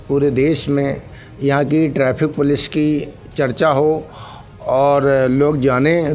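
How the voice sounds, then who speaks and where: Male official as interview guest, slightly high voice, earnest explanatory delivery, light music underneath. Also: darkish tone. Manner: formal, earnest, mixes in English terms. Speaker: senior traffic police officer, interview guest. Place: radio studio.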